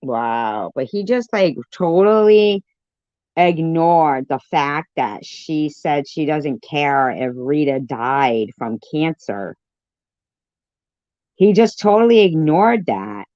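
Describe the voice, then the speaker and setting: A woman hosts a casual live stream commentary. She talks slowly and deliberately, often repeating herself. The sound is dull and lo-fi, with very little room to it. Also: low-pitched, animated, darkish tone, no room sound.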